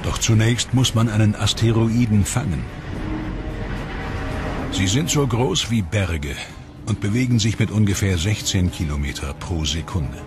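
Low-voiced male documentary narrator over music. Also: measured pace. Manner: steady, explanatory. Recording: music bed under voice.

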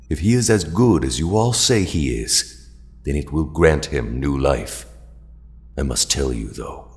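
A man playing an ancient alien mentor in an audio drama, speaking in a grave, measured, formal way. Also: extremely low pitch, heavily acted. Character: ancient, solemn alien mentor to young heroes. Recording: long cavernous reverb.

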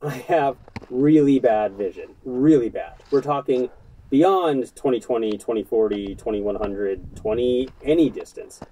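A man's voice: medium pitch, a little slow, rough recording. A man in his thirties talking to camera indoors, giving a scripted, matter-of-fact explainer on outdoor gear. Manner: earnest, matter-of-fact, steady delivery. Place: indoors in front of a brick-pattern backdrop.

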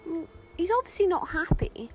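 A woman's voice: very high-pitched, lively intonation, normal speed, soft background music, voice pushed up. A woman voicing a naive, earnest, tender-hearted young woman friend in a radio play, with a girlish manner. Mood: earnest concern.

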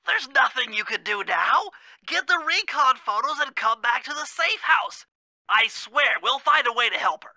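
An actor playing a brainy, earnest turtle gadget expert in a video game, nasal and know-it-all. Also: male voice, extremely high pitch, animated, moderate pace, bright, forceful tone, full character voice. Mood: urgent.

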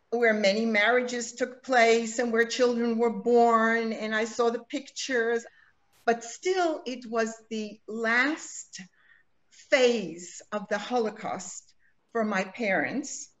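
A woman answering an interview question in a slow, measured, reflective way over a video call from her home. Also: a little high. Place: home room. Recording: dull video-call audio.

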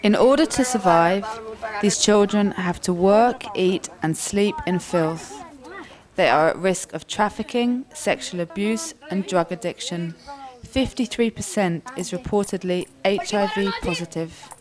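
A woman giving scripted documentary narration in a solemn, measured way, with short deliberate phrases. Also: mid-range voice, a crowd in the background.

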